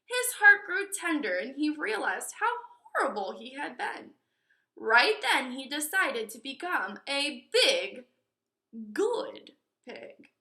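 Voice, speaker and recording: High, bright-voiced woman reading a storybook. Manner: slow, expressive, teacherly. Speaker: storybook reader. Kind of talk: animated children's read-aloud with explanatory asides. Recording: echoey room.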